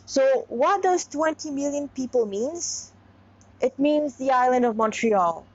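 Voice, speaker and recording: High-voiced woman reading a story, dull sound. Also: lively intonation, measured pace. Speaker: narrator reading own story. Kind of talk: amateur story reading with casual asides. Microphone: clip-on lapel mic.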